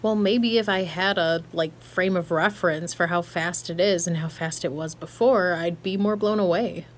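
A woman's voice: fairly low pitch, normal speed, natural voice. A woman playing a laid-back, blunt young hardware tech in an audio drama, casual and slangy with dry humor. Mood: wry, teasing. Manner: casual, slangy, dryly joking. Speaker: voice actor. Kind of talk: naturalistic audio drama dialogue.

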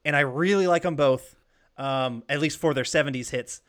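A male podcast co-host with a slightly high voice chats casually about music. He sounds eager and enthusiastic, swearing freely as he talks. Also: moderate pace. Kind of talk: casual chat.

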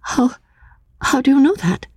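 A woman's voice: high-pitched, sing-song, unhurried, a little mellow, dry recording, pitched above the performer's own voice. A woman voicing a frail, timid, childlike young woman in a classic audiobook, breathless and nervous. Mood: anxious. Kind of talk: dramatic audiobook reading of classic literature.

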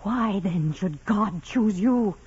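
A proud, doubting nun with a very husky voice at a medium pitch. She speaks with stern, clipped intensity and bitter anguish, in 1940s radio drama style. The sound is dull, like an aged broadcast recording. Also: animated, takes it slowly, darkish tone, full character voice.

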